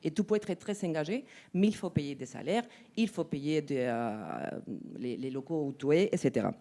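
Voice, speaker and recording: Low, mellow-voiced woman with a foreign accent. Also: animated. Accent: non-native French accent. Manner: halting, searching for words, many restarts. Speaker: NGO representative on a panel. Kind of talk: spontaneous panel talk. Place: conference room.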